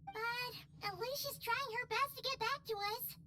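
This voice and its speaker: Squeaky, very high fairy companion. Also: female voice, measured pace, sharp tone, a little hiss or hum, heavily acted. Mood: chirpy, curious. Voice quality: squeaky, childlike. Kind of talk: video game voice acting.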